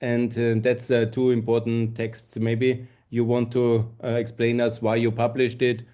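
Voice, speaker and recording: A man's voice: medium pitch, monotone, measured pace, mellow. A man hosts an interview podcast, speaking friendly, slightly broken English. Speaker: podcast host. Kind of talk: interview hosting.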